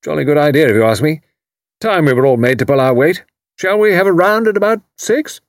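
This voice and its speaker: A man voicing a hearty, blustering older British commander with an upper-class English accent and a loud, barking, clipped delivery in an audiobook. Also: fairly high pitch, a little fast, no room sound, studio quality, pitched above the performer's own voice, natural voice. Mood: bluff, emphatic. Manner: bluff, emphatic, clipped phrasing.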